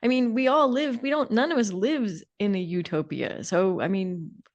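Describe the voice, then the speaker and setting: A woman's voice: medium pitch, normal speed, muffled recording. A woman co-hosting a podcast discussion, speaking casually with playful, colourful phrasing. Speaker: podcast co-host.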